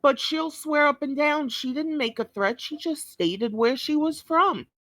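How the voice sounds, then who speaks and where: A woman's voice: high-pitched, a little slow, a bit bright, no room sound. A woman giving blunt, scolding commentary on a clip, swearing heavily. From New York. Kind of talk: profane reaction commentary.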